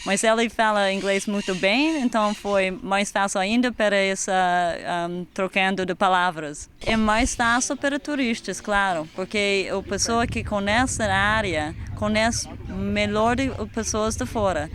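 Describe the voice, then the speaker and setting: A man giving an interview answer, halting and searching for his words. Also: sits high, a bit bright.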